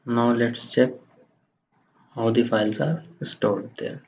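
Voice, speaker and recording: Muffled, flat-voiced man giving a software tutorial. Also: mid-range voice, takes it slowly. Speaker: tutorial presenter. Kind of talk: software screencast tutorial, step-by-step narration. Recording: muffled lo-fi microphone, faint background noise.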